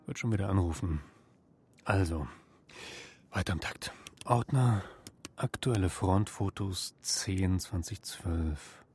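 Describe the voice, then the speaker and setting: An actor playing a harried office clerk and secret lover, dry, exasperated and sarcastic, in naturalistic radio drama dialogue. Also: male voice, low-pitched, slow, pauses often, background noise. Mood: muttering, puzzled.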